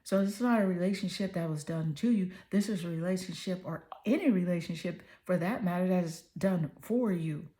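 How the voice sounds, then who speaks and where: Tarot reader, woman, hoarse, mellow and affirming delivery. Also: lowish voice, a little fast, few pauses. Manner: soothing, affirming, repeats "right?". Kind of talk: tarot reading to camera.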